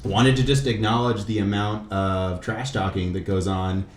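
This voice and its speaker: A man's voice: on the low side, fairly even intonation, moderate pace. A man hosts a casual interview show with a slangy, joking delivery. His talk is loose and full of fillers. The room is audibly echoey, with a little noise behind him. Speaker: radio podcast host. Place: indoor room with couch. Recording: roomy echo, faint noise.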